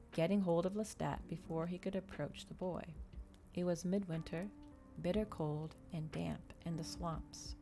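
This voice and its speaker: A woman reading a story aloud in ASMR style, soft-spoken and measured, as a streamer narrating a novel. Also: lowish voice.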